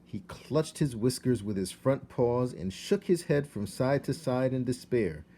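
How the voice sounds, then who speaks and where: Male storybook narrator, soft dark tone, very lively intonation, faint background noise. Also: medium pitch, measured pace. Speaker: read-aloud narrator. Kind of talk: expressive children's storybook narration.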